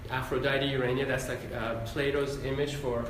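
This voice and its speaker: A man born in Iran and raised in Ohio, with a Midwestern accent, giving a relaxed, rambling interview answer full of fillers. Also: mid-range voice, normal speed, noisy background. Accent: Midwestern American. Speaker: interview guest, doctor and psychologist. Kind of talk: casual conversational interview. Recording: very echoey room, mic at a distance.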